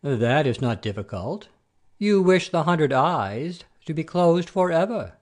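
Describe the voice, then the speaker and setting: A man playing a wily messenger god posing as a simple shepherd, with an expressive storyteller's delivery in an amateur audio play. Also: on the high side, a little slow. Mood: obliging, scheming.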